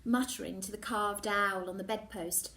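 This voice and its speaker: A woman teacher reading a novel aloud, expressive and slipping into whispers at tense moments. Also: medium pitch, moderate pace, distant mic, a little hiss or hum. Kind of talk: storybook reading.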